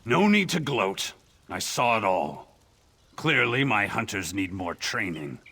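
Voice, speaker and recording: An actor playing an arrogant, gruff male orc hunter in a video game, boastful and challenging. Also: lowish voice, very animated, a little slow, pushed and bright, exaggerated voice. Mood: grudging. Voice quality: gruff, raspy. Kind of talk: video game character acting.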